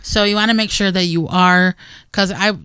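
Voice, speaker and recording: A middle-aged American woman co-hosts a radio show in a smooth, clear voice. She chats casually and plainly, slipping into hypothetical asides. The sound is close and dry. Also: medium pitch, steady tone, normal speed, sharp tone, no room sound. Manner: conversational, plain-spoken, talks in hypothetical asides. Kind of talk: casual gardening advice chat.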